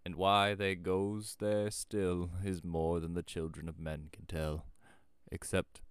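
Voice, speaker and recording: A man voicing an old rustic shepherd in a storybook, speaking in country dialect with slow, emphatic, grave warnings. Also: sits low, flat delivery, clean tone, no room sound, studio quality. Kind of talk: storybook character voice.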